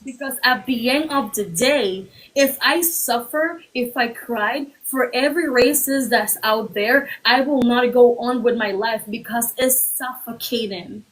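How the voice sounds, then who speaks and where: A woman with a slightly raised pitch speaks as a guest on a livestream talk. She argues in a steady, deliberate way and drives her points home through repetition. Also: low-quality recording. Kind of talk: earnest argument on a livestream.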